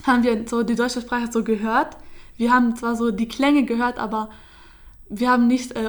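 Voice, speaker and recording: Young woman, flat hesitant telling. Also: a little high. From Syria. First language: Kurdish. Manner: hesitant, many fillers and restarts. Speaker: interviewee. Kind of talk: casual personal account.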